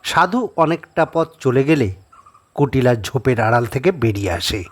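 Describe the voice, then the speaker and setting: A man's voice: medium pitch, animated, normal speed. A man narrating a horror audio story as a dramatic scripted reading.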